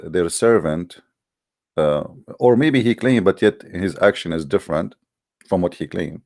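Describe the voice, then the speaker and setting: A man's voice: deep voice, warm tone, dry recording, studio quality. A man, an English speaker, hosting a live call-in debate show in a hesitant but challenging way, with many fillers. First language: Arabic.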